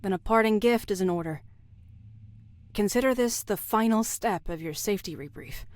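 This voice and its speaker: An actress playing a caring but guarded, practical woman in a video game drama, with measured, understated delivery. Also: mid-range voice, no echo, close to an everyday voice.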